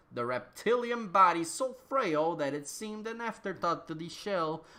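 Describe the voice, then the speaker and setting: A male narrator with a mid-pitched voice reads an absurd story aloud. He performs it theatrically, stretching phrases out with mock gravity, as a comic dramatic reading. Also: sharp tone.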